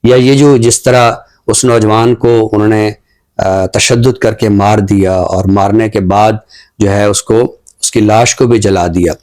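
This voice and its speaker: Low-voiced man giving earnest commentary. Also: steady tone, darkish tone. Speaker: talk show commentator on society and literature. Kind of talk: thoughtful spoken commentary.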